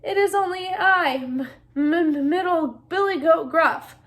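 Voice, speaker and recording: A woman's voice: very high voice, takes it slowly. A woman voicing a nervous middle-sized male goat in a children's storybook, stammering with fear. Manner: stammering, fearful.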